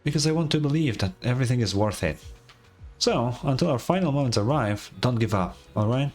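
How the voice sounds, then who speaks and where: A man voices a calm young man in a story game, with a mid-range voice. He is easygoing and reassuring, with a gentle teasing streak, over background music. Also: medium pitch, brisk, close to an everyday voice. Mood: earnest.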